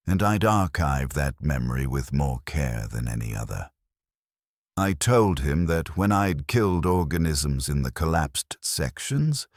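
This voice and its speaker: A man narrating a scripted first-person horror story in a measured, solemn way. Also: a bass voice, no echo, studio quality.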